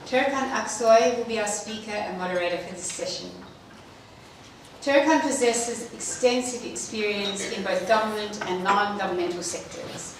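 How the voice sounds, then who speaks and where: A woman with a medium-pitched voice reads out a formal introduction as session host. She sounds measured and scripted. Her voice carries from a distance through a strongly reverberant room with faint noise. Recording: very echoey hall, distant mic, faint noise.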